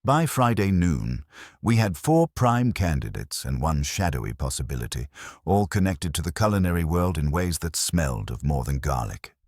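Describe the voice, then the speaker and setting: A man's voice: a bass voice, sing-song, moderate pace, dry recording, studio quality. A man narrating a scripted first-person detective story in a wry, hard-boiled manner. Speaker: audiobook narrator.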